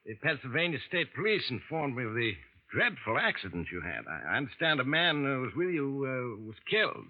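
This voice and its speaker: An actor playing a formal middle-aged family attorney who hesitates with fillers, in a 1940s radio drama. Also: male voice, medium pitch, big pitch swings, fairly quick, few pauses. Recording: tinny vintage radio broadcast.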